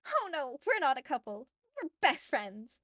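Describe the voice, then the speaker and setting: A breezy, confident teenage girl in a story, acted out by a woman narrator. She talks casually and with easy self-assurance. Her voice is fairly high, with almost no room sound. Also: very animated, a little slow, a bit bright, dry recording, clean studio sound, full character voice.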